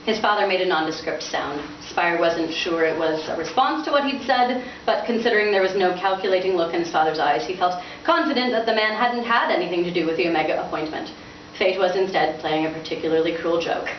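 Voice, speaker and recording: A woman's voice: on the low side, fairly quick, few pauses, distant mic. Woman reading aloud in an echoey room. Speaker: author reading from their novel. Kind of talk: expressive live book reading, lightly dramatized. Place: indoors in a bookstore. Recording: muffled, echoey, noisy room from afar.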